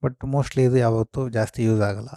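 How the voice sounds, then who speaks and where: A man's voice: mid-range voice, steady tone, moderate pace, mellow, no room sound, studio quality. A man hosting a casual unboxing, explaining things step by step with English terms mixed in. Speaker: host of a gear unboxing and review video. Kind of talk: casual product walkthrough.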